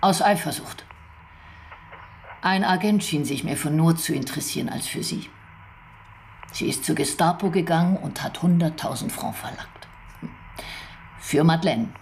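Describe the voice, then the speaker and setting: Gravelly, sober woman investigator. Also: low voice, moderate pace, long pauses, a little mellow. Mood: sober, reflective. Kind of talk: radio drama acting. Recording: clear background noise and ambience.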